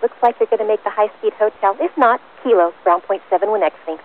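A woman speaks as an airport tower controller, medium in pitch. Her phrasing is clipped and procedural, and it comes through a thin, phone-like aviation radio channel. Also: fast, slight background noise. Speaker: air traffic tower controller. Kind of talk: brisk radio instructions to pilots. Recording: tinny, narrowband aircraft radio transmission.

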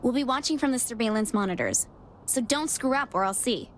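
A woman's voice: on the high side, lively intonation, brisk, a little hiss or hum, natural voice. A woman playing a sardonic female hacker giving instructions. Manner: dry, sardonic.

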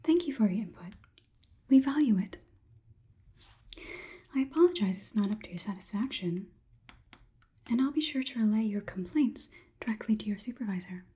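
A young woman in her twenties playing a politely condescending female robot overlord in a slow, measured, breathy ASMR whisper, close to the microphone. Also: mid-range voice, animated, mellow. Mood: faintly condescending. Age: 20s. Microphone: close sensitive microphone.

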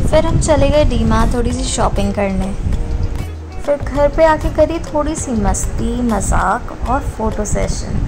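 Young female vlogger, higher voice, chatty casual narration over prominent music. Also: low-quality recording. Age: young adult. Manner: chatty, addresses viewers with questions. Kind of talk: casual travel vlog narration. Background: background music.

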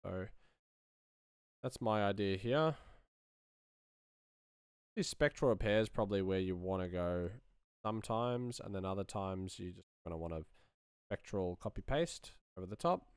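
Low-voiced man, close and dry. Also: normal speed, long pauses, clean tone, no room sound. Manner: musing, thinking aloud while working. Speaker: sound designer giving a tutorial. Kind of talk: casual screen-share commentary.

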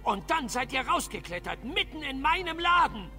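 A man's voice: extremely high pitch, very animated, moderate pace, sharp tone, faint music behind, full character voice. A man voicing a flustered, indignant dwarf shopkeeper in a video game, stammering and complaining. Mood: accusing, annoyed. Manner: agitated, stammering, whiny complaining.

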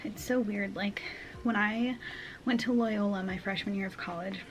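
A woman's voice: mid-range voice, fairly even intonation, moderate pace, slight background noise, poor audio. A woman in her twenties chatting casually to the camera in her bedroom, upbeat and full of "like" and "um". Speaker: vlogger. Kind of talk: casual vlog chat to camera. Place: small bedroom at home, indoors.

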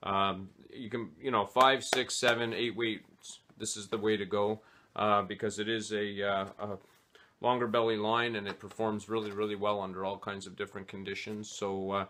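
Man with a low voice, halting gear talk. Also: level tone, pushed and bright. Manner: hesitant, many "uh" fillers. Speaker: fly fishing vlogger. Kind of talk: casual gear talk to camera. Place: small home office, indoors.

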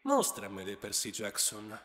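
A man voicing a scornful, menacing male god of the underworld in slow, low tones, with a heavy reverb effect on his voice. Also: wildly swooping pitch, a bit bright, heavily acted. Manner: slow, low, threatening delivery. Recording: cavernous echoing reverb effect.

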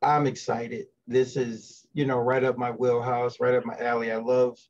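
A man's voice: mid-range voice, flat delivery, normal speed, a little mellow. A middle-aged man co-hosting a casual interview over a video call, speaking effusively. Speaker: co-host interviewing guests. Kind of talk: casual chat. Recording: dull lo-fi video call audio.

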